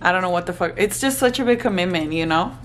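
A woman's voice: fairly low pitch, animated, quick pace. A woman in her twenties chatting and laughing in casual, profane banter while filming herself close up in a hotel room. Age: 20s. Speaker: vlogger. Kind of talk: casual chat. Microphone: handheld camera close up.

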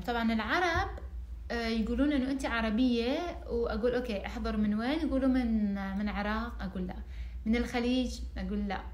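A young woman in her twenties from Iran, Persian-speaking from birth, chatting casually to camera in a small room with lively hand gestures. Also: a little high, reverberant. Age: 20s. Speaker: vlogger. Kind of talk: casual vlog chat to camera. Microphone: phone camera at arm's length.

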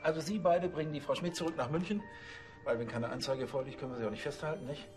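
An actor playing an eager, fussy police officer who talks in a chatty, colloquial way. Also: male voice, a little high, fast talker, natural voice. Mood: officious.

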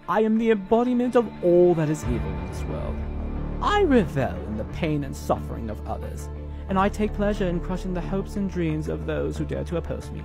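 Grandiose sadistic villain, theatrical, lively delivery, male voice actor. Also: sits high. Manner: theatrical, pompous. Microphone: close handheld microphone.

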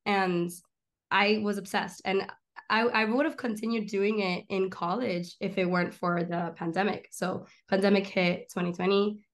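A young woman in her twenties, born in Miami and raised in Argentina, telling her story as a guest in a casual interview, animated and fast, recorded by a laptop webcam in a small room at home. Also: medium pitch. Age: 20s. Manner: animated, gestures a lot, rapid run-on storytelling. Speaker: guest, comedian and filmmaker. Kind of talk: casual video-call interview. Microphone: laptop webcam on a video call.

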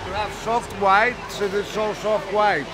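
A man's voice: sits high, steady tone, unhurried. Blunt older man critiquing lights. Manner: blunt, plain-spoken, repeats himself. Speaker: owner's father. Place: restaurant dining room. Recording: busy crowd chatter behind.

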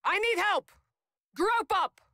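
A bright, tough soldier heroine. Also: female voice, very high-pitched, animated, takes it slowly, no room sound, studio quality, full character voice. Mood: alert, shouting. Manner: short, forceful battle callouts. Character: tough, muscular female soldier, confident and protective. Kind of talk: video game combat voice lines.

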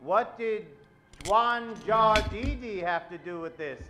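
A man's voice: high-pitched, slow, bright, forceful tone. A middle-aged man narrating and hosting in a dramatic old-time radio announcer style. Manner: dramatic, showy. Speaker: host and narrator. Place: theater stage. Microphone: vintage-style stand microphone.

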